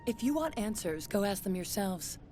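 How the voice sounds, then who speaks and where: Actress as a blunt young colony guard. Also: female voice, medium pitch, sing-song, moderate pace, close to an everyday voice. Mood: curt, wary. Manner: blunt, terse. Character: curt, hardened young woman colony guard. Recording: loud background music.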